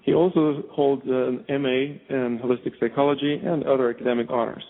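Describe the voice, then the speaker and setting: A man's voice: mid-range voice, little pitch movement. A male show host reading a formal introduction and interviewing a guest. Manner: measured, formal, stumbles now and then. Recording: tinny phone line.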